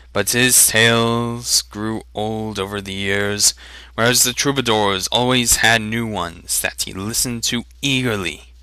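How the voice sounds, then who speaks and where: A man's voice: fairly low pitch, bright, forceful tone, smooth, no room sound. A man narrating a fantasy novel as a dramatic reading. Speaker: audiobook narrator.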